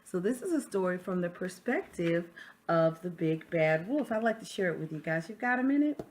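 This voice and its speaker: A woman's voice: on the low side, lively intonation, moderate pace, a little hiss or hum. A woman teacher chatting to children during a read-aloud, often putting questions to her listeners. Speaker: sixth grade teacher reading aloud.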